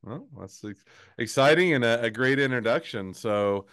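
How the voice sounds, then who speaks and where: A man hosting a podcast interview, talking in a halting way with many fillers and restarts. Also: medium pitch, expressive, fairly bright tone, clean tone, no room sound, clean studio sound. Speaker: podcast host.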